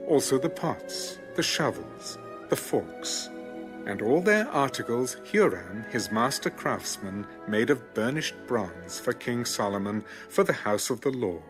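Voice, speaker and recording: A man reading scripture as a scripted audio narration, formal and measured. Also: mid-range voice. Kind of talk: scripted audio Bible narration, read aloud. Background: instrumental music.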